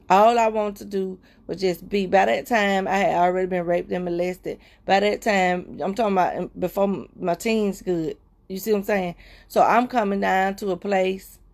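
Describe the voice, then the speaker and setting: Woman, livestream host, emotional testimony, preacher-like repetition, soft murmurs between phrases. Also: lowish voice, gravelly. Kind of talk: heartfelt personal testimony to viewers.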